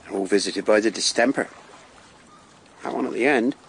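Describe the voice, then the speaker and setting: A man's voice: fairly low pitch, normal speed, creaky, slightly rough. A man acting an earthy, wry and plaintive working-class Londoner of plague times in a radio drama, talking colloquially. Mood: sombre, anxious.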